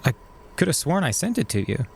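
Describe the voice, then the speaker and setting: An actor playing a clever, controlled hacker plotting revenge, delivered as dry, naturalistic audio drama dialogue. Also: male voice, fairly high pitch, very animated, brisk, creaky, darkish tone, husky, heavily acted. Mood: evasive. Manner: matter-of-fact, dry, controlled.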